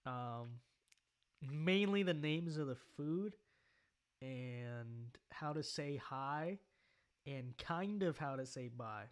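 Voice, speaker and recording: A young American man hosting a casual livestream, chatting and reading out viewer comments in a halting way that often repeats phrases. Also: medium pitch, expressive, clean tone. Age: young adult. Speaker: livestream host.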